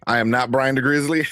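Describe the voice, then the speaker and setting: A man with a very deep voice co-hosting a casual livestream show, laid-back and chuckling, rambling with fillers. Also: pushed and bright, dry recording, clean studio sound. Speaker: co-host.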